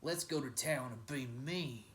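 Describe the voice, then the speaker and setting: A man's voice: mid-range voice, measured pace, a little hiss or hum, close to an everyday voice. A man voicing a rude, blustering troublemaker in a children's storybook. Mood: rowdy goading. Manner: loud, blustering. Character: rowdy, rude, mischief-making ruffian pals.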